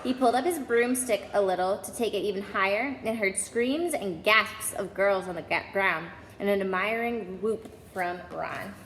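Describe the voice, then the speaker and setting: A woman narrates a children's novel aloud at a medium pitch. Her voice rings with long, roomy reverb, and faint noise sits behind it. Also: normal speed, few pauses, fairly bright tone. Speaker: storyteller. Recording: echoey hall, faint background noise.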